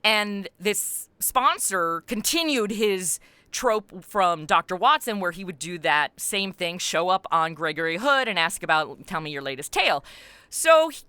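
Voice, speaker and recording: Woman, interview guest, bright voice, dry recording, animated storyteller, conversational. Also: fairly low pitch, a little slow, clean tone, no echo. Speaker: podcast creator and writer, interview guest.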